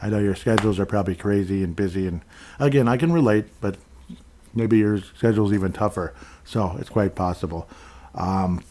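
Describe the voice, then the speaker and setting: A man with a somewhat low voice hosts his own sports podcast. He talks loosely and chattily, drifting between thoughts and warmly calling out listeners by name. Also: a little fast. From Minnesota. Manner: rambling, friendly, thanks listeners by name. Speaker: podcast host. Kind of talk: casual solo podcast chat.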